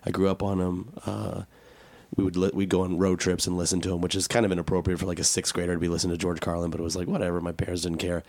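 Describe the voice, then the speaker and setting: The raspy, jokey American guy behind the mic at a volunteer radio station, gravelly with a cold, close and dry in the booth. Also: low voice, flat delivery, rapid-fire, darkish tone, no echo. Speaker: podcast and radio show co-host. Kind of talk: casual chat.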